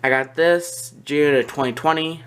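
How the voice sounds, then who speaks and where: A hobbyist collector talking through items on camera in a casual, halting way, often trailing off between points. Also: mid-range voice, fairly bright tone, slight background noise. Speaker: collector presenting a hobby video. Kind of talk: casual product walkthrough. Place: indoors in a small room at home. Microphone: handheld camera or phone near the speaker.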